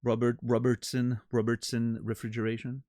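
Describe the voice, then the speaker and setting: A man's voice: mid-range voice, completely flat delivery, moderate pace, mellow, no room sound, clean studio sound. A man streaming and chatting casually with his viewers, joking and rambling with many restarts. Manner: rambling, self-interrupting, joking. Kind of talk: casual chat with live chat.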